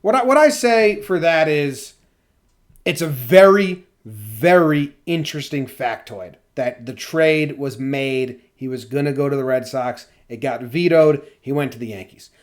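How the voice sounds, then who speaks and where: A man's voice: mid-range voice, animated, measured pace. A man with an American accent hosting a podcast, talking casually about sports. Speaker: podcast host.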